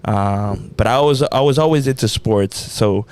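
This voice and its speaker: Low-voiced young man, laid-back podcast chat. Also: measured pace, a little mellow, clear, resonant tone. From United States. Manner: laid-back, slangy, rambling with many fillers. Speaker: podcast co-host.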